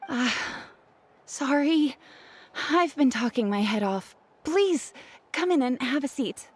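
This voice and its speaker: A woman's voice: sits high, expressive, takes it slowly, husky, full character voice. A woman playing a polite, obliging, slightly anxious craftswoman in a video game, speaking in an apologetic, explanatory way. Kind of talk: video game character acting. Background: background music.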